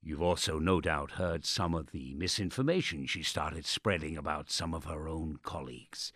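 An actor playing a smug, sardonic state radio host in a dark audio drama, theatrical and menacingly polite with pompous presenter patter. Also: male voice, rumbling low, moderate pace, no room sound, studio quality. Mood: grave, sanctimonious.

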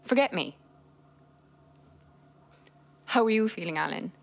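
An actress playing a seriously ill wife and mother who talks in a weary, blunt way that turns forceful and insistent. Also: on the low side, animated, quick pace, creaky. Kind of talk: naturalistic screen drama acting.

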